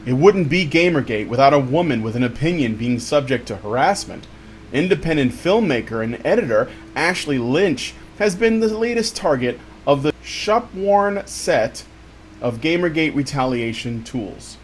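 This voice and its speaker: A man with a slightly high voice reads a written piece aloud as if it were a dramatic story. His intonation swings widely, with exaggerated, theatrical stress. Faint noise sits behind the voice. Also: lively intonation, normal speed. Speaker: show host reading an article aloud.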